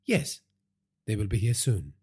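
Man playing calm, dutiful son, husky voice. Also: on the low side, full character voice. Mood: businesslike. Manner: measured, deferential, reassuring. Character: dutiful, calm adult son of crime boss. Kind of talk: audiobook character dialogue.

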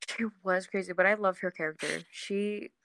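A woman's voice: mid-range voice, fairly even intonation, normal speed, a bit bright, dry recording. A young woman hosting a podcast, steering a casual chat about television in an informal, slangy, agreeable way. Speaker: podcast host guiding the discussion. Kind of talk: casual TV discussion.

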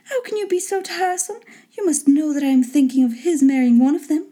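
A woman voicing a fussy, excitable middle-aged wife and mother in a storybook reading, gushing, pleading and impatient. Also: high voice, fairly quick, voice pushed up, lightly acted. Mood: exasperated. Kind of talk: storybook character voice in an audiobook reading.

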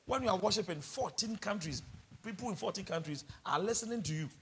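A man's voice: high-pitched, expressive, fast, a bit bright, slight background noise. A man from Ghana with a Ghanaian accent, preaching a sermon and mixing in humorous asides. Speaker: pastor.

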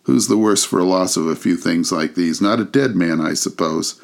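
A man voicing a bold, coarse charwoman in a storybook reading, rough-spoken and scornful. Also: low voice, normal speed. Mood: defiant.

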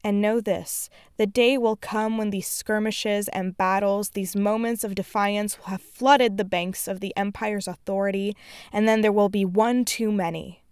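A woman reciting a solemn political speech in deliberate, emphatic short phrases. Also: mid-range voice, steady tone, darkish tone, smooth, no room sound, clean studio sound.

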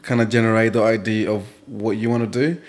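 A man's voice: lowish voice, quick pace. Laid-back African-raised man giving advice. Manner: relaxed, advice-giving, many fillers like "you know". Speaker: podcast guest. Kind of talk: casual group chat.

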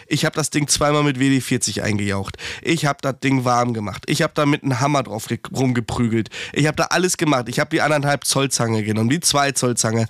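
A man's voice: mid-range voice, a bit bright, no echo, clean studio sound. A man co-hosting a casual podcast about heating repair, chatty and swearing, talking with a slight cold. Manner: chatty, swearing, rambling with restarts. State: has a cold. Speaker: podcast co-host, heating technician.

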